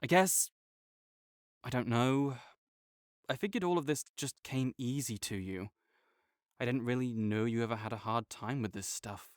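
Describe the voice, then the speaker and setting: A man's voice: mid-range voice, moderate pace, pauses often, no room sound, natural voice. Young male academic rival, played by a man, tsundere, teasing, defensive, dry close sound. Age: young adult. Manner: teasing and bossy, gets flustered and defensive. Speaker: voice actor in an audio roleplay. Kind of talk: audio roleplay acting.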